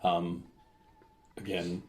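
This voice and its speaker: A man's voice: low voice, monotone, extremely slow, a little mellow. A middle-aged man, a father chatting casually and teasing his daughter. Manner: jokey, dry teasing. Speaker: father and podcast guest. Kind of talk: casual chat.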